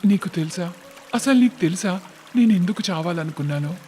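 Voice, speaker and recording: A man's voice: high voice, lively intonation, fairly quick, warm tone, slight background noise. A man voicing a proud, emotional young businessman in a cartoon moral story, with dramatic, emphatic delivery. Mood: angry, despairing. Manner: dramatic, emphatic, repeats words for effect. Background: background music.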